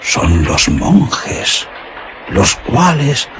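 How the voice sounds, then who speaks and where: A man's voice: medium pitch, huge pitch swings, a bit bright, a little hiss or hum, full character voice. A man playing a rustic herdsman who tells an old legend in a mysterious, suspenseful radio drama manner. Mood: eerie, grave. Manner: mysterious, drawn-out, suspenseful storytelling.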